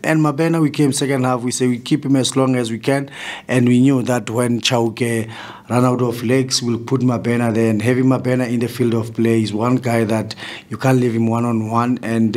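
A South African man in his forties, a football coach answering press questions in a measured way, often restarting his phrases, at a table mic in a press room. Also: medium pitch. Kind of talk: post-match press conference answers. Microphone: table mic in front.

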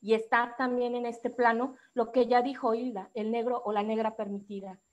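Woman, Mexican accent, fairly high voice, activist panelist, formal prepared speech, measured and articulate. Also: level tone, muffled recording. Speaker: activist speaker on a discussion panel. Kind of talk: prepared public talk, formal.

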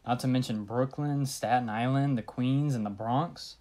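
A woman's voice: a bass voice, moderate pace, mellow. A woman playing a bored, brusque police desk sergeant in an old-style radio thriller. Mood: dismissive. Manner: brusque, placating, weary.

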